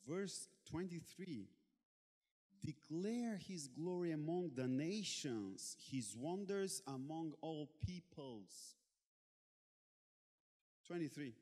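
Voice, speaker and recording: A Bulgarian-born man preaching in English, pausing often as he searches for words, with a playful edge. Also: fairly high pitch, lively intonation, dark tone. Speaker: guest preacher. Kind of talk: sermon in English with interpreter. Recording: long hall reverb.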